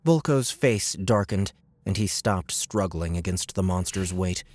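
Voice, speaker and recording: A man's voice: low voice, animated, measured pace, no room sound. A man narrating an audiobook with dramatic, scripted delivery. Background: loud music.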